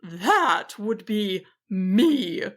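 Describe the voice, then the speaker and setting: Woman doing a slow booming kind dragon. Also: big pitch swings, exaggerated voice. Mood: commanding. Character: big kind but fearsome female dragon, protective.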